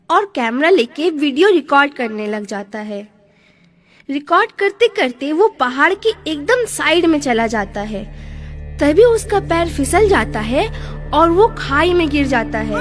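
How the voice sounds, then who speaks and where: Female narrator, high voice, animated delivery, scripted moral storytelling, music underneath.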